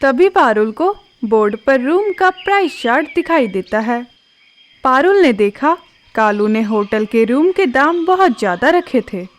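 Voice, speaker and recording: A woman narrating a children's cartoon story in a calm, even manner. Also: sits high, measured pace, a little hiss or hum.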